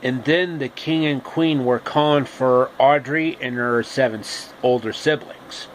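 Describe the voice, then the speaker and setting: A man telling an improvised comic retelling of a fairy tale, haltingly and repeating phrases. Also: medium pitch, poor audio. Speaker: storyteller. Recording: steady background noise.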